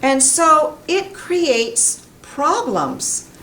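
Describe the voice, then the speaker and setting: A middle-aged American woman giving a pronunciation lesson to camera in a deliberate, emphatic, carefully enunciated way. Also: high-pitched, sing-song, bright, forceful tone, a little hiss or hum. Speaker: English pronunciation teacher. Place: indoor classroom in front of a whiteboard.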